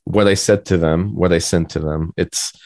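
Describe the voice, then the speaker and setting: A man with a gravelly voice chatting casually as a podcast co-host, in a self-deprecating, joking way. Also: sits low, steady tone, moderate pace, darkish tone, dry recording.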